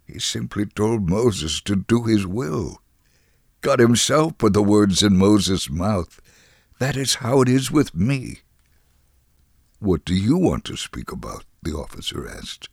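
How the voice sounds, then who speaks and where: A man reading a story in a dramatic, expressive way, acting out the quoted dialogue. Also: lowish voice, no room sound, clean studio sound. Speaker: reader of a dramatized true story.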